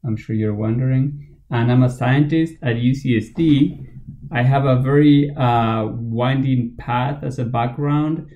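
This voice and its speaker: A man's voice: medium pitch, dark tone, dull audio. A man from Argentina with an Argentine Spanish accent, a scientist guest talking casually and hesitantly with many fillers. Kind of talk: casual interview talk.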